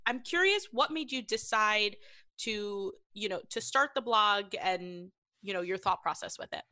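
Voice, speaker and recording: A woman hosting a podcast, reading her scripted intro and asking interview questions in a lively way. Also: mid-range voice, measured pace. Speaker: podcast host.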